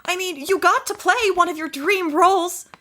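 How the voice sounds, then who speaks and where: Woman as a very high, high-strung agent. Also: moderate pace, sharp tone. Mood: concerned, eager. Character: exasperated, anxious, high-strung female teammate. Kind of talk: audio comedy drama acting.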